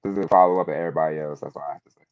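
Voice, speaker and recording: Low-voiced young man, dull dry recording. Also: fairly even intonation, rapid-fire, dry recording. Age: 20s. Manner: hesitant, searching for words. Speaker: panel participant. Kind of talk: casual personal reflection in a panel discussion.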